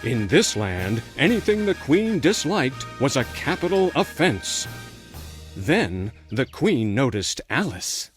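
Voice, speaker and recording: A man's voice: mid-range voice, big pitch swings, slow. A man narrating a scripted children's storybook tale as its storyteller. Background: faint music.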